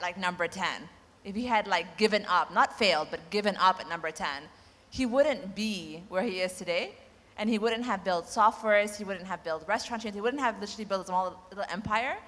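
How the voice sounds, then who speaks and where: Fast-talking emphatic woman panelist. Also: mid-range voice, fairly bright tone. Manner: rapid, emphatic, lots of "like". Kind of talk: panel talk. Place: large conference hall. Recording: echoey hall reverb.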